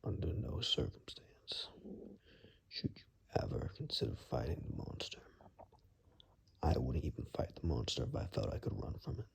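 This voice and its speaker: A man playing a weary, rugged monster hunter in an ASMR roleplay, grave and halting, in a gravelly, breathy near-whisper. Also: rumbling low, very animated, exaggerated voice. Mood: grave, warning.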